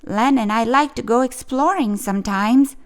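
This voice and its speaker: A woman's voice: fairly high pitch, normal speed, close to an everyday voice. A woman reading the lines of a chatty, adventurous young schoolboy in a storybook, eager and matter-of-fact.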